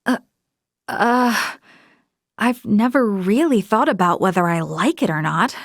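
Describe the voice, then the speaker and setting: A woman's voice: medium pitch, expressive, no room sound, studio quality. A woman playing an earnest, polite young female space officer in a video game, speaking in a formal, thoughtful way. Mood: flustered. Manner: measured, formal, thoughtful delivery. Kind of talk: scripted video game voice acting.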